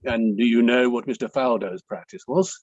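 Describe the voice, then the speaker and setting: Man playing a deliberate barrister, dull dry recording. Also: mid-range voice, a little mellow, no echo, close to an everyday voice. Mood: politely probing. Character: male inquiry barrister, deliberate, politely probing cross-examiner. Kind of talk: table read of an inquiry play.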